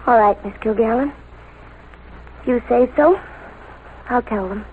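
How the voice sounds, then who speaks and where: A woman's voice: a little high, a little slow, a little hiss or hum. An actress playing a meek, dreamy teenage girl in trouble in an old-time radio drama, polite and pleading. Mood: confiding, reluctant. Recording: tinny old radio broadcast.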